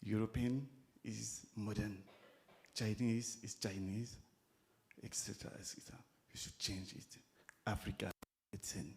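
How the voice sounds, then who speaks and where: Slow, halting Cameroonian man. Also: fairly low pitch, fairly even intonation, creaky, slight background noise. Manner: halting, repeating words while searching for phrasing. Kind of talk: impromptu speech at a conference discussion.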